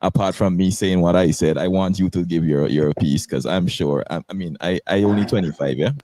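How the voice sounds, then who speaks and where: A man's voice: sits low, brisk, dark tone, no room sound. A man in his twenties hosting a casual talk show, rambling and repeating words. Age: 20s. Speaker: host.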